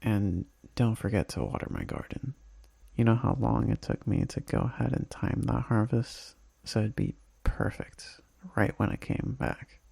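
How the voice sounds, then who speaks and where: A man playing a homesick, tender boyfriend in an intimate ASMR roleplay voicemail, speaking softly and breathily with halting pauses and sighs. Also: on the low side, creaky, breathy edge, dry recording, exaggerated voice. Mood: longing, affectionate.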